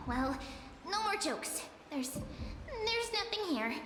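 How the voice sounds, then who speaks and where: A woman's voice: extremely high pitch, sing-song, extremely slow, exaggerated voice. A woman voicing a small, cute, girlish game creature, playful, coy and easily flustered, with theatrical delivery. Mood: musing, flustered. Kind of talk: scripted game character dialogue. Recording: echoey long reverb, loud game music behind.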